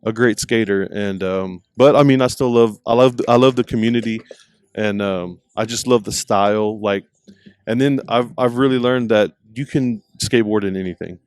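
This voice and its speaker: A man's voice: lowish voice, moderate pace, darkish tone, clean tone, no echo, studio quality. A man hosting a podcast, chatting in a friendly, storytelling way at an outdoor skate park, wearing a headset. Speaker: podcast host. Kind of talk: casual chat. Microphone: mic with headset monitoring.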